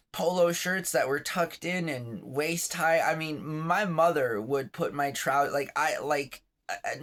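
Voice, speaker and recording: A man's voice: a little high, a bit bright. A man in his thirties hosting his own vlog from his bed, talking in a chatty, rambling, self-deprecating way with lots of asides. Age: 30s. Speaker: vlogger, channel host. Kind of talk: rambling casual vlog monologue. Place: on his bed at home, just woke up.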